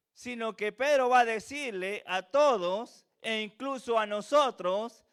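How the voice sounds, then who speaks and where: A man's voice: very high-pitched, fairly bright tone, smooth. A man from Venezuela with a Venezuelan accent touched by Chilean, preaching a sermon in a church with earnest repetition and rhetorical questions. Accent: Venezuelan with a slight Chilean tinge.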